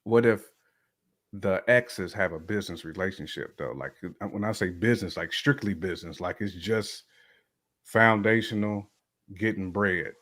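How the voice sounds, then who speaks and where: A man's voice: low-pitched, moderate pace, fairly bright tone. A man chatting casually and colloquially, speaking emphatically and repeating phrases to make his point. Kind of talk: casual chat.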